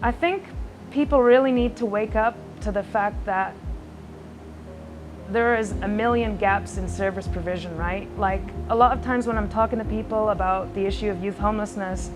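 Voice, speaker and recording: Woman, earnest youth worker in a conversational interview, medium pitch, clear music bed. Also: moderate pace. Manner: earnest, often ends points with a questioning tag.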